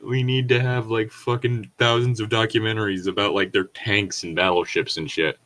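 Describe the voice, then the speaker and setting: A low-pitched man reads a story aloud as a co-host. He slips into casual, digressive asides with an irreverent, foul-mouthed manner. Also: steady tone, moderate pace, a bit bright. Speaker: co-host reading and commenting on stories. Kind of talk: read-along with casual joking asides.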